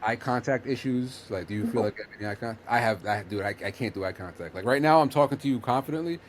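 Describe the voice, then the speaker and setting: A man's voice: mid-range voice, fast talker, a little hiss or hum. A man hosting a casual chat, explaining earnestly while rambling and restarting his sentences.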